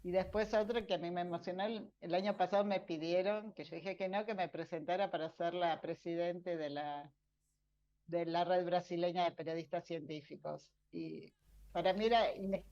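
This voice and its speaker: A woman's voice: lowish voice, fairly even intonation, normal speed, a little mellow. A middle-aged Argentine woman telling stories casually as an interview guest, self-deprecating and full of restarts. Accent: Argentine Spanish. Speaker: interview guest, science journalist. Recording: dull lo-fi sound.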